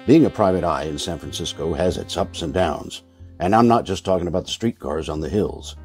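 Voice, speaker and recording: Male actor as noir detective narrator, world-weary and wry, deep, relaxed voice, old-time radio drama. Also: fairly quick, faint music behind, natural voice. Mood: dry, sardonic. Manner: dry, sardonic.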